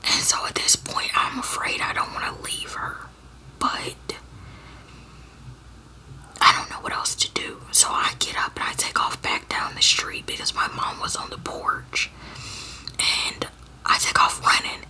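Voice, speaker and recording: A young woman from Georgia in the US, telling a personal story to her viewers in a halting whisper, full of fillers and restarts. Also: pushed and bright. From Georgia, USA. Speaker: storyteller vlogger.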